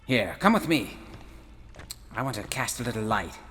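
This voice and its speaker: A man's voice: mid-range voice, lively intonation, normal speed, a bit bright, lightly acted. A man playing an intense, protective, scholarly professor in a sci-fi audio drama, theatrical and emphatic. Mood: baffled, intrigued. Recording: long hall reverb, faint music behind.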